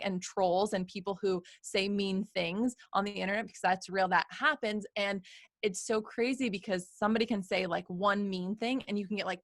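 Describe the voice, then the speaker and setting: A woman's voice: mid-range voice, fairly bright tone, no room sound, clean studio sound. A woman hosting a podcast as a coach, chatting in an upbeat, encouraging way and often checking in with "right?". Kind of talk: casual interview chat. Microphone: video call.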